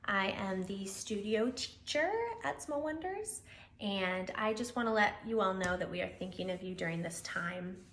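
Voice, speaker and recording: A woman's voice: medium pitch, normal speed, a little hiss or hum. A woman preschool teacher speaking expressively as she leads a children's story time. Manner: expressive, animated delivery. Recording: roomy, echoey room.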